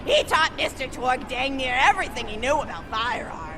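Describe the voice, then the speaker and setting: A woman's voice: high-pitched, big pitch swings, normal speed, background noise. An actress voicing a cheery, eccentric old grandmother in a video game, a doting, rambling storyteller. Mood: proud, gleeful. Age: older. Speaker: game character. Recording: game audio with music and crowd.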